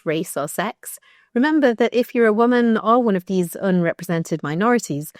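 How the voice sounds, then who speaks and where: A woman with a croaky voice reading her own blog post aloud, measured and thoughtful with brief restarts. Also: on the low side, dry recording, studio quality. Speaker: researcher narrating her blog.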